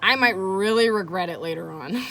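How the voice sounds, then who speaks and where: A woman's voice: mid-range voice, moderate pace, gravelly, a bit bright. A woman teacher reading a children's chapter book aloud with casual, encouraging asides and questions to her listeners, with background noise. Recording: noisy background, kids playing nearby. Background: ambient noise of children playing.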